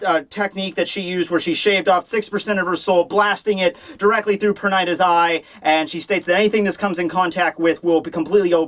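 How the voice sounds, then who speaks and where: A man with a high voice hosts a casual comedic review. He rambles excitably, swears freely and keeps dropping sarcastic asides into his commentary. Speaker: host of a manga review show.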